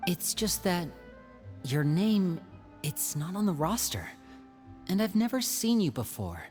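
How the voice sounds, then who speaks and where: A voice actor playing a clever young stage magician who takes charge and lays out plans. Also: female voice, lowish voice, big pitch swings, over music. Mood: probing. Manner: quick-thinking, persuasive, gives orders. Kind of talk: game cutscene voice acting.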